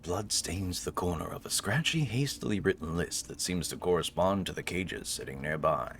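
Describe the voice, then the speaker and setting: A man's voice: deep voice, lively intonation, normal speed, creaky, fairly bright tone. A man hosting a gaming video with casual, joking commentary and wry, playful banter. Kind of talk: casual joking let's play commentary, reading game text aloud.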